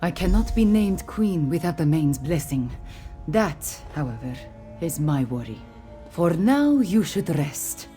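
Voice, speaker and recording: A woman voicing a weary, regal cat-folk warrior queen in a video game, speaking formally and earnestly in measured phrases. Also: low voice, very animated, dark tone. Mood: earnest, solemn. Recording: game music behind the voice.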